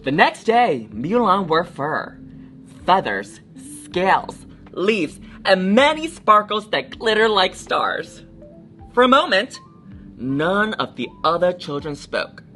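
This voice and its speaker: A woman reading a children's storybook aloud in a theatrical, expressive manner, adding little asides. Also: fairly low pitch, takes it slowly, soft background music. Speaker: drag storytime reader.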